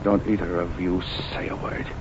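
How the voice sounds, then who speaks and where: An actor playing a cold, menacing fugitive killer who speaks in curt threats and false politeness, in 1940s radio acting. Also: male voice, deep voice, little pitch movement, moderate pace, highs cut off, natural voice. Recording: hissy old radio broadcast.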